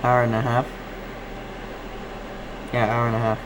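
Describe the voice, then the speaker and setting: A man's voice: mid-range voice, completely flat delivery, unhurried, noisy background. A man hosting a casual live stream, chatting with viewers and thinking aloud in a rambling, distracted way. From Canada. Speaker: live stream host, radio hobbyist. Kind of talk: casual live-stream chat, reading and answering comments.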